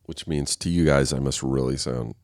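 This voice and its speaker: A man's voice: a bass voice, fairly even intonation, normal speed, a little mellow, no room sound, clean studio sound. A man hosting a casual solo podcast, rambling and swearing, laughing at himself, sounding congested and nasal. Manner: rambling, profane, self-mocking, laughs often. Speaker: podcast host. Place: waiting on a set, recording alone.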